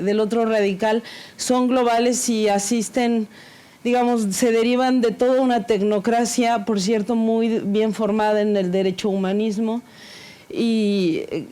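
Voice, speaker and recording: A woman speaking earnestly at an academic conference panel, halting and full of fillers, openly nervous. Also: mid-range voice, warm tone. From Mexico. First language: Spanish.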